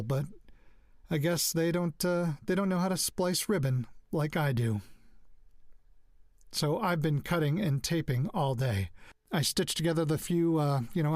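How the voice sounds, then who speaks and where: Man playing an earnest, higher-voiced amateur sleuth. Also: no echo, studio quality, close to an everyday voice. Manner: hesitant, informal, confiding. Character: earnest amateur sleuth dictating an audio message. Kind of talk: casual monologue, audio drama.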